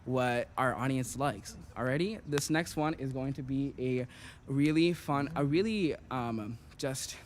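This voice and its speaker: A young man from Puerto Rico in his twenties rambles through a casual chat between songs. He talks at a middling pitch, leaning on "like" and "you know", with faint background noise behind him. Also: a little slow. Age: 20s. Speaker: singer introducing songs.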